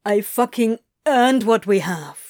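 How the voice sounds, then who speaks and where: A woman playing a fierce, protective parent, slow and emphatic. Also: a little high, expressive, darkish tone. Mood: tender, fierce. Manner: emphatic, sweary, repeats words. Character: fierce, protective parent, gruff but tender, emotional.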